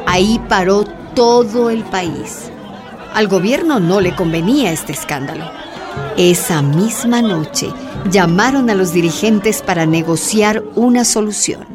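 A woman's voice: medium pitch, a little slow, close to an everyday voice. A woman narrating a Spanish-language radio drama as its storyteller. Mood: solemn, urgent. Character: woman narrator recounting a miners' hunger strike. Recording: music and crowd behind the voice.

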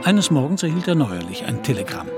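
A man with a medium-pitched voice narrates a literary radio play. He reads in measured, complete storytelling sentences, with music clearly audible behind him. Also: big pitch swings.

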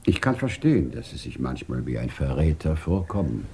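A grave older man, with an extremely deep, creaky voice. He speaks solemnly and gently, in a mellow tone, like a moral counsellor in a 1950s radio drama. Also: very animated, normal speed, exaggerated voice. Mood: sympathetic. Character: compassionate, grave older man, long-time emigrant offering moral counsel. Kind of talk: 1950s German radio play acting.

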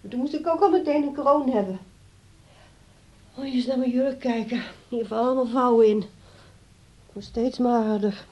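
An actress playing a fretful, dissatisfied grown daughter in a radio play, complaining and blaming in a put-upon way. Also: female voice, on the high side, normal speed, pauses often, dull audio, lightly acted.

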